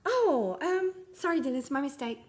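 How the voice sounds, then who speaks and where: A woman plays a flighty studio musician bantering in a radio comedy. Her voice is high and swings dramatically up and down, playful and a little scattered. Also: big pitch swings, moderate pace. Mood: flustered. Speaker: show musician. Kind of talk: scripted comic banter.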